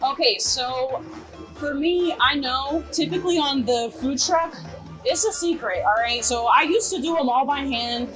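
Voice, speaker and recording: Higher-pitched young American woman, slangy and enthusiastic. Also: a little slow, a bit bright, faint music behind, low-quality recording. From Greensboro and High Point, North Carolina, United States. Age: young adult. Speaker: food truck chef. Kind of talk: casual cooking demonstration chat.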